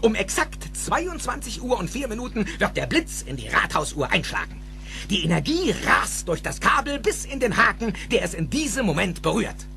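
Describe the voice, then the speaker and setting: Excitable, high-swooping eccentric scientist. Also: male voice, normal speed, creaky, soft background music, full character voice. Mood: excited explaining. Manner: theatrical, emphatic, explaining. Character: excitable eccentric older male scientist inventor. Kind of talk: dubbed film acting in a German audio drama.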